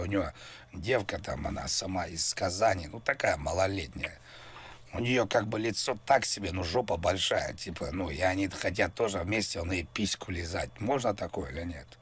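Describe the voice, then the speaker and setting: A man making a rambling prank call, mock-serious and crude, with a husky voice. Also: low voice, a bit bright. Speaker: prank caller.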